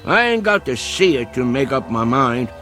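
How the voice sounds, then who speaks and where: Casting: plain-spoken country coon hunter, stubborn, Southern rural drawl, expressive melody, faint music underneath. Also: male voice, medium pitch. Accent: rural Southern American. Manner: homespun, unhurried, plain-spoken. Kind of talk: vintage television drama acting.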